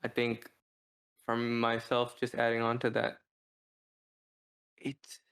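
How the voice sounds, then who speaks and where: Rambling man with an even, level delivery. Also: mid-range voice. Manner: rambling, halting, thinking out loud. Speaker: podcast co-host. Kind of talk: casual book review discussion.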